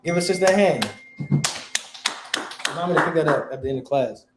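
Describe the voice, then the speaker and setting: A man teaching a Bible class, quizzing his students in relaxed slang. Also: fairly high pitch, brisk, background noise. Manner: quizzing, questioning. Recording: echoey room.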